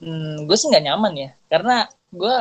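A man's voice: fairly high pitch, animated, moderate pace, a bit bright. A young man with a Jakarta Indonesian accent, chatting casually and slangily as a podcast co-host. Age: young adult.

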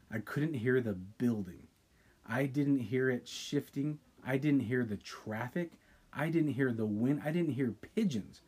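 Male podcast co-host, mellow husky tone, reads listener emails dramatically. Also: medium pitch. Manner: reads with dramatic pauses, breaks off to react. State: sipping wine. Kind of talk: casual podcast chat, reading listener stories aloud.

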